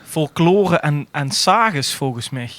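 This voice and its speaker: A man with a fairly high voice and a Limburgish Dutch accent co-hosts a podcast. He banters casually, and the sound is dry. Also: expressive, slow pace, fairly bright tone, dry recording. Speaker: podcast co-host.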